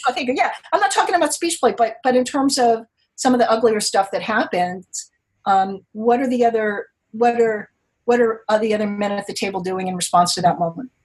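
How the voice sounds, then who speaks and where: A woman guest on a podcast, talking thoughtfully and haltingly, often restarting as she searches for words. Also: fairly high pitch, poor audio. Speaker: guest, poker writer. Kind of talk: podcast interview, reflective.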